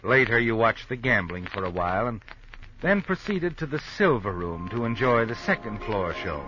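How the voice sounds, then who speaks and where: An actor playing a knowing, ominous narrator, telling a 1950s radio suspense story in sardonic, dramatic style. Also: male voice, fairly low pitch, sing-song, moderate pace. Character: knowing, ominous male narrator addressing the heroine. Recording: muffled 1950s radio recording. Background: music.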